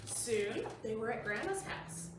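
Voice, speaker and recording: A woman's voice: a little high, sing-song, unhurried. A woman presenting a children's storytime, reading aloud and explaining things simply for young listeners. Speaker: library storytime host. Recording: very echoey room, distant mic, faint noise.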